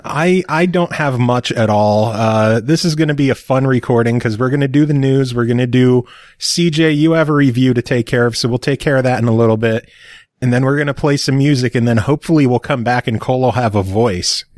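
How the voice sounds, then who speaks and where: A man's voice: mid-range voice, brisk, a little mellow, dry recording, clean studio sound. A man hosting a podcast in casual chat, teasing his co-hosts with crude jokes. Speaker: podcast host.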